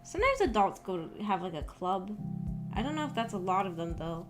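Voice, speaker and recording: A woman's voice: medium pitch, very animated, brisk. Rambling young woman streamer, casual chat. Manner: rambling, hesitant, informal. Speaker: VTuber streamer. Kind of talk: casual livestream chat with viewers. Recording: background music under the voice. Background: background music.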